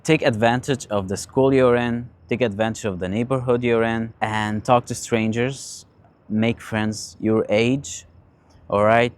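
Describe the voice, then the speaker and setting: A man in his late teens telling personal stories in a casual, confessional way, haltingly and often repeating his phrases. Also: medium pitch, fairly even intonation. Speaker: vlogger sharing personal stories and advice. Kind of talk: casual confessional talk, storytelling.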